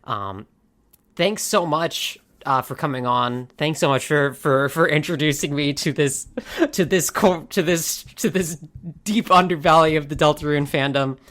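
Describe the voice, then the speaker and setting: A woman's voice: low-pitched, measured pace, a bit bright. A woman hosting a podcast in casual chat, laughing often and restarting her sentences. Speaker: podcast host.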